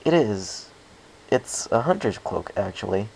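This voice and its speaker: A man's voice: on the low side, big pitch swings, measured pace, creaky, a little mellow, a little hiss or hum, exaggerated voice. A man playing an awkward, polite, easily flustered young male pony with an apologetic manner. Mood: embarrassed.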